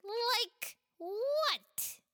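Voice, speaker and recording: A woman voicing an excitable young boy bird who keeps giggling between his words and shouts eagerly. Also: very high-pitched, drawn-out words, no echo, clean studio sound, voice pushed up, exaggerated voice. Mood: giggly.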